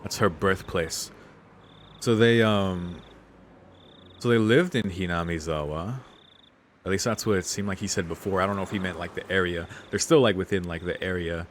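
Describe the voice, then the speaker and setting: A man's voice: deep voice, normal speed, slight background noise. A man doing casual let's play commentary in a laid-back, slangy way. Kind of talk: casual gaming commentary, reading subtitles aloud. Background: game music.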